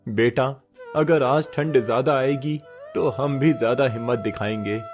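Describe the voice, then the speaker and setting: A man's voice: mid-range voice, a little slow, close to an everyday voice. A man playing a poor, proud village labourer father, tender and resolute, in an earnest moral-story style. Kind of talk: Hindi moral story voice acting. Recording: music behind the voice.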